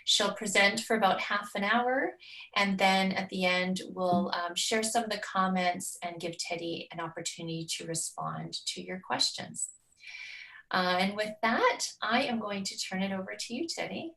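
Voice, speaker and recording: A woman's voice: medium pitch, normal speed, gravelly, bright, forceful tone, far from the mic, poor audio. A woman hosting a webinar, introducing the speaker in a hesitant way with fillers, over a video call. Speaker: webinar host.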